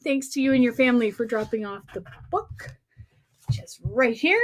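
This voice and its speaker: A woman's voice: on the high side, animated, moderate pace. A woman hosting a read-aloud for young children, speaking playfully and directly to them with exaggerated expression. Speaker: children's storyteller and singer hosting a livestream.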